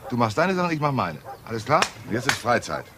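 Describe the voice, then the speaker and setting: An actor playing an evasive, sardonic lone-wolf police detective in a radio crime drama, with a casual, needling manner. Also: male voice, medium pitch, big pitch swings, fast, natural voice. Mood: firm. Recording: clear background noise.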